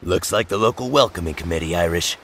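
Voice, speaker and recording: Gravelly, deep, cocky brawler, acted by a man. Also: fast, a bit bright. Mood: cocky, sardonic. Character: gruff, cocky, combative male mutant brawler. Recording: noisy effects behind the voice.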